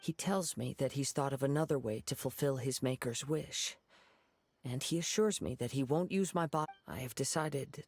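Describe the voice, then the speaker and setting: A man voicing a gentle, composed young wandering samurai in an anime game, soft-spoken. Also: medium pitch, normal speed, no room sound, soft background music, natural voice. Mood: resolved.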